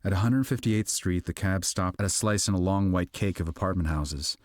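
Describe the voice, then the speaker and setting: A man's voice: deep voice, brisk, dry recording. A man reading literary fiction aloud as an audiobook narrator, in a scripted storytelling style. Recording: music behind the voice. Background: background music.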